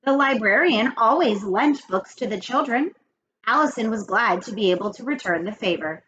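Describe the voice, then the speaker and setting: A woman's voice: on the high side, lively intonation, normal speed, a bit bright. A woman reading a children's story aloud expressively, addressing young listeners. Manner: addresses young children, explaining words and asking questions. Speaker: read-aloud host. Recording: muffled lo-fi with faint noise.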